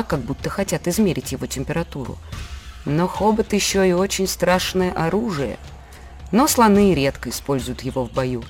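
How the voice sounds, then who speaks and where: A woman playing a patient mother, giving measured, scripted educational storytelling to her child. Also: low-pitched, a little mellow.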